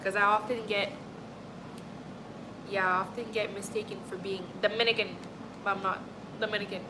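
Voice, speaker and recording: A woman's voice: mid-range voice, flat delivery, normal speed, noisy background. Chatty young woman from Montreal. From Montreal, Canada. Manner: chatty, rambling, frequent "like" and "honestly". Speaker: livestream host. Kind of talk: casual chat with live chat.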